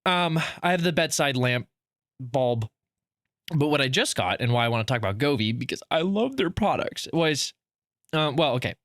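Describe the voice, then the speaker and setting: A man's voice: mid-range voice, animated, normal speed, fairly bright tone, no room sound, studio quality. A man co-hosting a casual podcast, teasing his friend in a playful way and chatting loosely with plenty of fillers. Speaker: podcast co-host.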